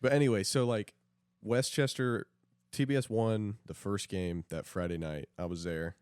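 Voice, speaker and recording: A man's voice: lowish voice, steady tone, normal speed, darkish tone, clear, resonant tone, dry recording, studio quality. A young man co-hosting a podcast, chatting casually with friends about sports. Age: young adult. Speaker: podcast co-host.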